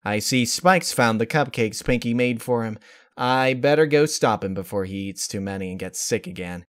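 A man's voice: mid-range voice, moderate pace, close to an everyday voice. A man voicing a brash, fun-loving grown pony mare in a story reading, with a breezy, teasing manner. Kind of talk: dramatized fan-fiction reading.

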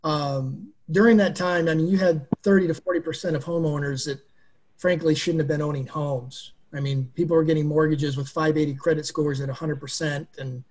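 A man's voice: on the high side, steady tone, fairly quick, darkish tone. A middle-aged man with a legal and mortgage brokerage background, speaking frankly and conversationally on a video-call panel. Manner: frank, conversational, repeats words.

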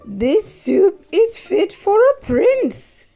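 A woman's voice: very high voice, sing-song, warm tone, faint music behind, pitched above the performer's own voice, heavily acted. A woman voicing an easily amazed little old lady from a storybook, drawing out her exclamations. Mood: delighted, amazed. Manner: drawn-out, exclaiming delivery.

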